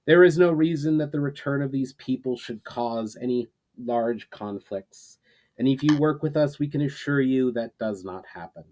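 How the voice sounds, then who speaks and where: A male reader takes on a solemn, earnest leader addressing his armies. He speaks in measured, declamatory phrases at an average male pitch, as in a dramatic storybook reading. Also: a little mellow, lightly acted. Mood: hopeful, earnest.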